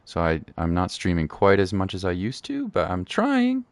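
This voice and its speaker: Low-voiced, easygoing male game streamer. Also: huge pitch swings, normal speed, no room sound. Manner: easygoing, chatty, repeats himself. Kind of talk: casual live stream commentary.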